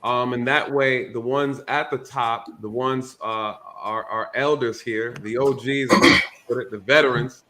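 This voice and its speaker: An easygoing man in his thirties leads a casual panel discussion. His voice sits at an ordinary middle pitch. He talks loosely and jokes around, with plenty of fillers along the way. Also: steady tone, slow pace, bright, forceful tone. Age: 30s. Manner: loose, joking, many fillers. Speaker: panel moderator.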